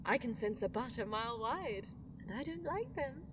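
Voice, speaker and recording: A woman's voice: a little high, animated, normal speed, noisy background, lightly acted. A woman playing a scheming, mocking villainous empress in an audio drama. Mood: taunting. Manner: taunting, sing-song, petulant. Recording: reverberant room, faint ambience.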